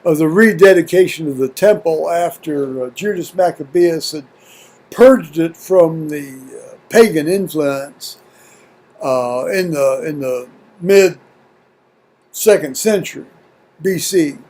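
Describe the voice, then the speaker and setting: An American man video blogging at home in a halting, folksy, rambling way, muttering to himself and reading to viewers. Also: on the high side, a little mellow, poor audio. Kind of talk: rambling casual chat with scripture reading. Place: at home, indoors.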